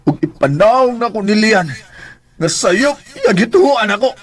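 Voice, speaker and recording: A man's voice: high voice, expressive, normal speed. An actor playing an intense, emotional man who is hurt and resentful, in a radio drama. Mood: frustrated, resolute. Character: wounded, resentful man named Jerry, a returned overseas success.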